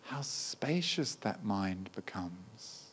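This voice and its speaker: A man guiding a meditation as a teacher, speaking gently in short, unhurried phrases with long pauses. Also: on the low side, animated, a little hiss or hum. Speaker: meditation teacher, Buddhist monk. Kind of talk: guided meditation.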